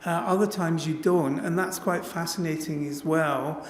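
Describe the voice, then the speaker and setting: A man with a slightly high voice asks eager, rambling questions, often restarting his sentences. He sounds roomy and distant, with the long reverb of a hall and a faint murmur behind him. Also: steady tone, moderate pace, a little hiss or hum. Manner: enthusiastic, self-interrupting. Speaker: event chair and interviewer. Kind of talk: interview questions. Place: lecture hall at a festival event.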